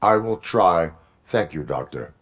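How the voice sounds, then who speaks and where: Cyborg police officer, very deep voice, flat, clipped, formal, faint background hum. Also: male voice, unhurried. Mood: stern. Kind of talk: video game cutscene dialogue.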